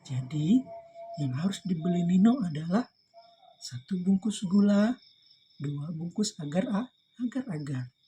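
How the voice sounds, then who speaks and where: A woman's voice: lowish voice, lively intonation, unhurried, pauses often, warm tone, breathy edge. A woman reading a children's story aloud expressively, engaging young listeners.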